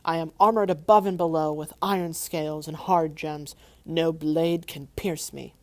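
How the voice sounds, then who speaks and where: A woman's voice: steady tone, normal speed, a little mellow. Woman doing a gloating old dragon. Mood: snappish. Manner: gloating, mocking, booming. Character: huge boastful old male dragon, wicked and wily.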